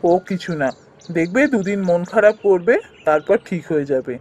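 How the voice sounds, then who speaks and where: A performer playing a poor, gentle, anxious village husband in a fairy-tale cartoon, earnest and deferential in manner. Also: mid-range voice, expressive, moderate pace, natural voice. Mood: calm, reassuring.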